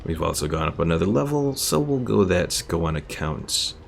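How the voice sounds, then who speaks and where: A man's voice: low-pitched, very animated, normal speed, creaky, slight background noise. A man doing casual live gameplay commentary, thinking aloud and explaining how things work. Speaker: video game streamer. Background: game music.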